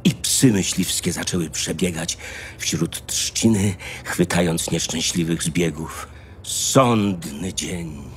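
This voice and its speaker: A man's voice: sits low, slow pace, a little hiss or hum. A man narrating a scripted fairy tale as a dramatic storyteller. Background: faint music.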